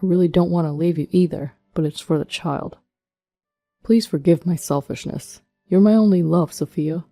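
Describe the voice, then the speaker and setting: A cheating husband who makes excuses and pleads in a low, gravelly voice, put on by a woman narrator. His tone is dark and mellow, with faint music under the story. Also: moderate pace, no echo. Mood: evasive.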